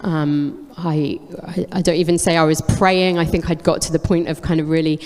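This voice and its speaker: A woman's voice: sits low, level tone, moderate pace, a little mellow. A woman in her forties from England, giving a candid, reflective faith testimony on stage with frequent ums. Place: church hall. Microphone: handheld mic, cutting in and out. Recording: echoey church hall with long reverb.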